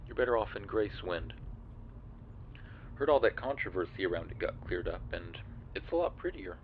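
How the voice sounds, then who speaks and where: A man's voice: deep voice, expressive, normal speed, reverberant, narrow, tinny audio, slight background noise. A young man acting in an audio roleplay as an awkward, sardonic friend who is secretly a monster, talking casually and hesitantly to the listener. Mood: casual, dismissive.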